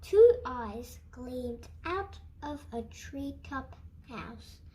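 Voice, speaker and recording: A woman reading a children's storybook aloud as an expressive storyteller. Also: sits high, drawn-out words, a little hiss or hum.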